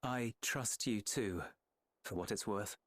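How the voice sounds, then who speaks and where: A man voicing an earnest, steady companion in a game cutscene, speaking in an encouraging way. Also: on the low side, slow pace. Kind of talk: video game cutscene dialogue.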